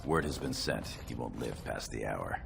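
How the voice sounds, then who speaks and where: An actor playing a wry, loyal warrior and second to a young noble lord in a fantasy game, gravelly and dryly deferential. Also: male voice, extremely low pitch, lively intonation, normal speed, background noise, full character voice. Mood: cold, matter-of-fact. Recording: music behind the voice.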